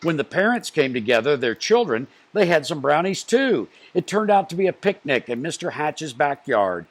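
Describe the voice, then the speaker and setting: A man reading a picture book aloud to children, expressively, with deliberate phrasing and stressed story beats. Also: fairly high pitch, sing-song.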